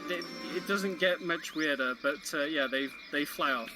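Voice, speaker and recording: Higher-voiced man co-commentating over game music. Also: normal speed, low-quality recording. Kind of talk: casual live gaming commentary.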